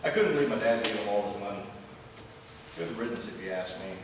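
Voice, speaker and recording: A man's voice: lowish voice, a little fast, lightly acted. Man acting a resentful elder brother, distant and echoey. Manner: grudging, emphatic, complaining. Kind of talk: church readers theater monologue. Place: large church room, live before congregation. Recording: echoey church hall, distant, clear background noise.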